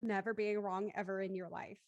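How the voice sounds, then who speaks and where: A woman's voice: mid-range voice, flat delivery, a little fast, a little mellow, dry recording, muffled recording. A woman hosting a live stream and introducing guests in a chatty presenting style. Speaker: host.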